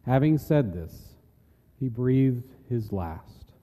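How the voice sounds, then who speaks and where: A man reads scripture as a solemn narrator. His voice is very dark and mellow, ringing with the long reverb of a large hall. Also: medium pitch, lively intonation, slow. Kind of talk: solemn dramatic scripture reading.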